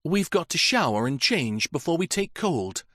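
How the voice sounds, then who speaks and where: A man voicing a brisk, polite young upper-class woman. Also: a little high, big pitch swings, fairly bright tone, no echo, studio quality, pitched above the performer's own voice. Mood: breezy.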